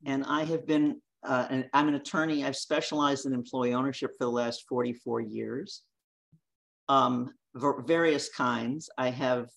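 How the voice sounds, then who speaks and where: An older woman, an attorney, introducing herself in a measured, matter-of-fact interview manner. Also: a bass voice, fairly even intonation, vocal fry. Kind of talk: casual interview.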